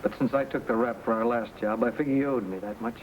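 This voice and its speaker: A man's voice: medium pitch, fairly even intonation, fast, close to an everyday voice. Man acting a terse young western man, noisy recording. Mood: hard, demanding. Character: young outlaw and his twin brother, hard and insistent. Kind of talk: old-time radio western acting. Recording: noisy old radio recording.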